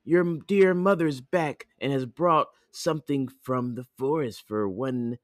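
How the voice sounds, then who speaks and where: Storybook wolf, male reader: villainous, sly, wheedling, pitched up in disguise. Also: unhurried, dry recording, clean studio sound, natural voice.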